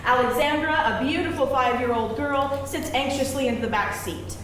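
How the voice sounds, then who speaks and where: A woman narrates a script, reading scene headings and stage directions. Her voice is on the high side. The room echoes heavily, with faint noise behind her. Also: moderate pace, a bit bright. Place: live event room before an audience.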